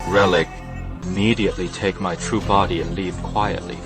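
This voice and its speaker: A man acts out an undead dragon clan ancestor for an anime motion comic. His voice is deep and solemn, delivering imperious commands with supreme assurance over a loud music bed. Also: fairly even intonation, moderate pace, lightly acted. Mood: commanding.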